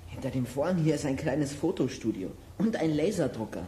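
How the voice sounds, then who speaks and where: A performer playing an articulate, excitable and slightly anxious boy detective in a children's audio drama. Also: mid-range voice, brisk, a little hiss or hum, lightly acted. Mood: curious.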